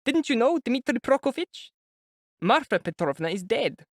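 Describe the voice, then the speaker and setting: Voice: medium pitch, big pitch swings, moderate pace, dry recording, clean studio sound, voice pushed up. A reader voicing an anxious, gushing older mother who talks in breathless exclamations. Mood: fretful. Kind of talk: audiobook character voice.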